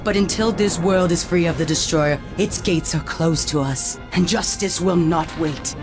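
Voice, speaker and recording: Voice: medium pitch, normal speed, close to an everyday voice. An actor playing a righteous, vengeful angelic avenger in a solemn, formal declaiming voice, in a video game cutscene with music. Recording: game audio with loud score.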